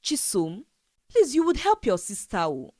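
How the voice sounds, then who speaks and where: A woman voicing a vain, scheming young woman in a folktale, boastful and wheedling with drawn-out interjections. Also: a little high, huge pitch swings, mellow, no echo, studio quality, voice pushed up, heavily acted. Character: vain, scheming young Nigerian woman, lazy gold-digger.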